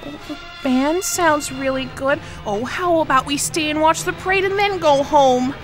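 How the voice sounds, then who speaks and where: A woman's voice: high-pitched, normal speed, music in the background, lightly acted. A woman playing a breezy, argumentative dancer sister who exclaims dramatically in a comic sci-fi audio play. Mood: delighted. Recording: clear background noise.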